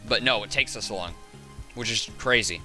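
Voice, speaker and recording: A man with a raspy, hoarse voice chatting casually on a game livestream, rambling and thinking aloud. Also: lowish voice, normal speed, sharp tone, faint music behind. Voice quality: raspy, sore voice. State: hoarse from hours of talking. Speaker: game streamer, host. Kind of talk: casual livestream chat while gaming.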